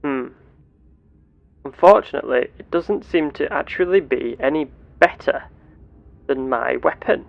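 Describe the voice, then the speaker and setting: A man playing a genteel space captain and explorer, speaking in formal, measured, old-fashioned wording as he narrates a game in character. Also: a little high, creaky, muffled recording. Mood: deliberate.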